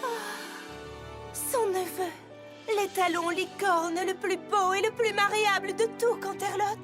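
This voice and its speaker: A woman's voice: very high-pitched, little pitch movement, a little slow. A woman voicing a vain, elegant female pony in a cartoon dub, with an affected, melodramatic and swooning delivery. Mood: swooning, dreamy. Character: vain, theatrical, elegant female unicorn pony fashion designer. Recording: music behind the voice.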